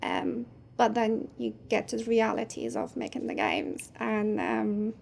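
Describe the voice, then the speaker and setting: Turkish-speaking woman, high voice with gravelly fry. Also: very animated, unhurried. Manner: thoughtful, soft-spoken with frequent "um"s. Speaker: guest, game producer. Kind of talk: casual interview chat.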